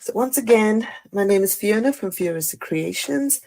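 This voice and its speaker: A woman with a croaky voice after the flu, chatting through a live card-making tutorial with many fillers and apologies. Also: mid-range voice, animated, normal speed, warm tone. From Australia. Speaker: craft demonstrator.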